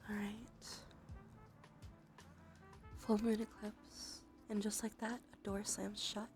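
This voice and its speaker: A woman livestream host speaking in a soft, breathy, often whispered voice, murmuring casually and unhurriedly to viewers in an ASMR tarot style. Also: medium pitch, flat delivery, slow, soft background music. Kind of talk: ASMR tarot reading stream.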